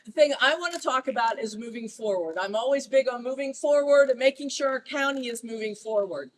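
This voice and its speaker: A chatty, self-deprecating woman speaks at an outdoor ceremony. Her voice is quite high. The mic catches her from a distance, and a faint rumble sits underneath. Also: fairly quick. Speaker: visitors bureau director. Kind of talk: public speech at outdoor ceremony. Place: outdoors at a courthouse.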